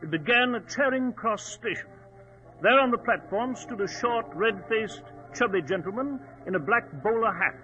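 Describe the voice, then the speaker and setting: An earnest gentleman storyteller, loyal and protective. His voice is high and sharp, measured but dramatic. It comes through as a dulled vintage radio broadcast. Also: animated, faint music behind. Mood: grave storytelling. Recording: dull old radio recording.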